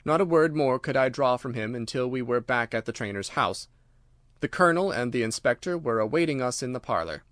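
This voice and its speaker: A man's voice: mid-range voice, lively intonation, a little fast, fairly bright tone, no echo, clean studio sound. A man reading scripted literary narration as an audiobook narrator.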